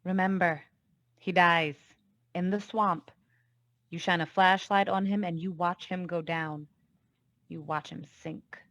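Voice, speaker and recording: A woman's voice: on the low side, fairly even intonation, a bit bright, dry recording. An actress playing a cold, commanding female gangster boss in a noir radio play, taunting and full of threat. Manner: taunting, deliberate, imperious. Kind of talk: 1940s radio noir acting.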